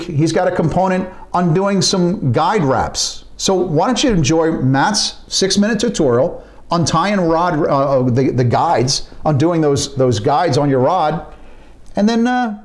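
A chatty, self-deprecating man in his fifties hosts a casual fishing report from his home office. His voice sits a little high for a man, and he throws in quick asides. It sounds like it was recorded on a desk webcam.

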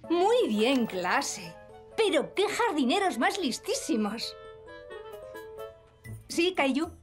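Casting: kind, patient cartoon nursery teacher, Castilian Spanish, slightly high, animated delivery. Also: female voice, takes it slowly, faint music behind, exaggerated voice. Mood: encouraging. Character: encouraging female preschool teacher, kind and patient. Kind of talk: children's cartoon dub acting.

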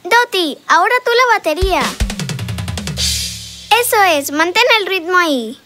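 A woman's voice: very high-pitched, lively intonation, unhurried, music in the background. A woman voicing a cheerful, bossy baby band leader in a children's cartoon, with a perky, confident delivery and a Castilian Spanish accent. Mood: upbeat, commanding.

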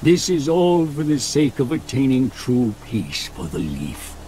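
An actor playing a ruthless, scheming old ninja elder, measured and menacing, in an anime game dub. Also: male voice, medium pitch, very animated, mellow, a little hiss or hum. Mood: coldly determined. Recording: music behind the voice.

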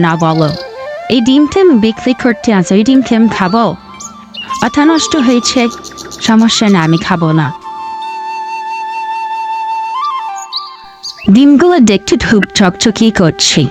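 Woman voicing a high-pitched nagging fox wife. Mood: sly. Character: sharp-tongued nagging fox wife, stubborn and greedy. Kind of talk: cartoon voice acting.

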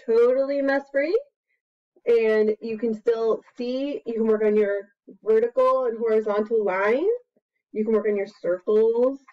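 A woman with an American accent and a fairly high voice talks casually through a hands-on demonstration. She is playful and expressive like a preschool teacher. The sound is slightly dull and lo-fi, as from a webcam in a room at home. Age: 30s to 40s. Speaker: preschool teacher giving an art activity demonstration. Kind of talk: casual instructional chat to camera. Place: small plain room at home, indoors. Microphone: computer webcam mic at about arm's length.

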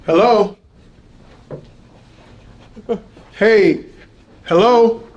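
An uneasy, high-pitched working man. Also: takes it slowly, darkish tone, dull audio. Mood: alarmed. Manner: folksy, informal, anxious. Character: uneasy but stubborn male former slag-pit worker, plain-spoken. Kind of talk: post-apocalyptic audio drama acting.

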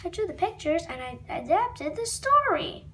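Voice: extremely high pitch, big pitch swings, normal speed, pushed and bright. A child hosting a read-aloud of a comic book, stumbling over words and wandering off into asides. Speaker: read-aloud video host. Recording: noisy home recording with room ambience.

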